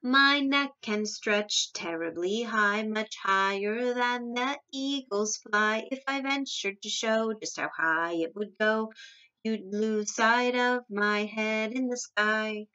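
Woman as a soft, hushed storybook giraffe. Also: fairly high pitch, slow, smooth, recorded from a distance, highs cut off. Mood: dreamy, singsong. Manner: hushed, careful delivery. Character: gentle, magical female giraffe, proud and soft-spoken.

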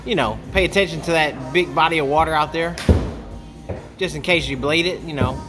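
A man's voice: on the high side, a little fast, music in the background. A man in his thirties co-hosting a golf vlog, bantering and joking about his own shots in an indoor golf simulator bay. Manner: joking, self-mocking about his game.

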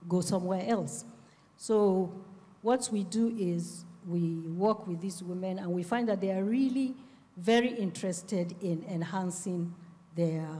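A middle-aged woman with a Nigerian accent speaking as a panelist, measured and pausing deliberately, into a handheld microphone on a stage. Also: fairly low pitch, mellow. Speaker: panelist, publisher and literacy advocate. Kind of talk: panel discussion talk. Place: on stage in a festival hall. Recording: reverberant hall sound.

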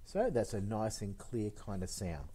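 A middle-aged man giving an informal, conversational software tutorial, explaining step by step into a headset mic. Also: on the low side, very animated, unhurried, slightly rough, faint music behind. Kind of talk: informal screen-recorded tutorial.

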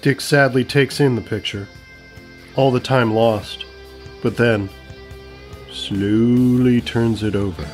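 A man's voice: medium pitch, fairly even intonation, takes it slowly, pauses often. A man reading scripted narration for a comedy audio drama. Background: music.

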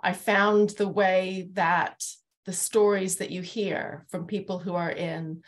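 A woman's voice: lowish voice, steady tone, slow pace. A middle-aged woman hosting a thoughtful discussion over a video call, earnest and articulate as she searches for the right phrasing. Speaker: host, interviewer. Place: living room at home, indoors, on a video call. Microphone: wired earphone headset on a video call.

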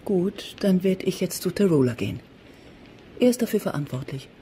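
A middle-aged mother in a radio drama, bitter and commanding. Her voice is dark and husky, and she questions like an interrogator, pressing out accusations. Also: fairly low pitch, very animated, normal speed, heavily acted. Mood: bitter, outraged. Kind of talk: German radio drama acting.

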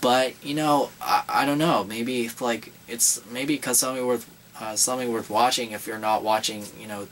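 A man's voice: mid-range voice, fairly even intonation, moderate pace, a bit bright, far from the mic, a little hiss or hum. A young man co-hosting a casual horror movie chat in a small room, rambling with many fillers and restarts. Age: young adult. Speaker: horror channel co-host.

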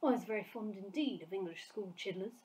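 A woman's voice: medium pitch, a little fast, mellow, lightly acted. Bloodbottler, a woman doing a jeering brute giant. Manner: gruff, jeering, mangled grammar. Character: brutish greedy male giant, rude and boastful.

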